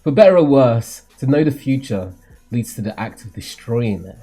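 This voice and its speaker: A man voicing a solemn, cryptic mentor who sees the future, in a theatrical, measured, formal manga read-aloud. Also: mid-range voice, expressive, mellow, breathy edge, faint music behind. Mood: grave, cryptic.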